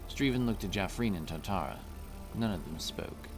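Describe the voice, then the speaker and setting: A man's voice: sits low, expressive, normal speed, over music. A man narrating a scripted fantasy story.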